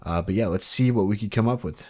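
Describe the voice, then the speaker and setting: A man's voice: lowish voice, fast talker, a little mellow. An eighteen-year-old man hosting his own game channel, talking casually and rambling upbeat with lots of fillers. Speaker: gaming channel host. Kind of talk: casual Minecraft commentary.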